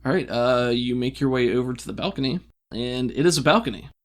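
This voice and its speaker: A game master with a mid-pitched voice narrates a tabletop adventure. The delivery is improvised and conversational, halting now and then for an aside before picking the scene back up.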